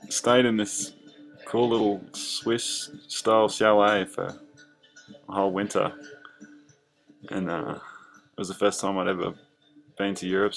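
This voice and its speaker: Laid-back Australian man with gravelly low voice. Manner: relaxed, rambling storytelling with fillers. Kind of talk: casual narration over drawings.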